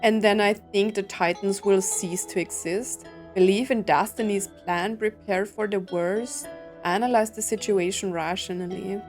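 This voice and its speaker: A woman's voice: mid-range voice, fairly even intonation, unhurried, darkish tone, faint music behind. A woman streamer giving casual playthrough commentary, reading game text aloud and musing in short reactions.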